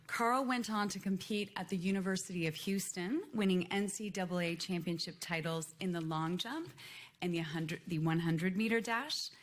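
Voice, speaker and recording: A woman's voice: on the low side, moderate pace. Woman presenting at a formal ceremony. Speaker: ceremony presenter introducing inductees. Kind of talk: scripted formal introduction.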